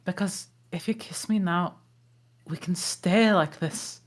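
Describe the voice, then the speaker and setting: A woman reading the part of a gruff but tender male ogre, soft-spoken, in an amateur table read. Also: on the low side, slow.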